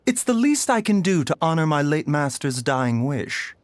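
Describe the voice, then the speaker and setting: An actor voicing a calm, courteous doctor who speaks in a polite, measured way and guards his secrets. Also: on the high side, dry recording.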